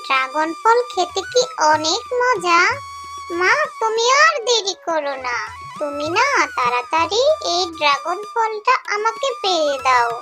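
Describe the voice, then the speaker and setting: A woman's voice: very high voice, sing-song, a little slow, heavily acted. A woman voicing an eager, childlike little girl fox cub who pleads in a children's cartoon. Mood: eager, pleading. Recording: music under the voice.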